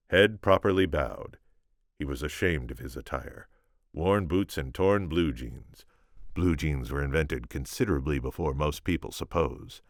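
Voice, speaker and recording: A man reading an audiobook, telling the story in a measured, dramatic way. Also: a bass voice, no echo, clean studio sound. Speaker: audiobook reader. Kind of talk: scripted story narration with informal asides.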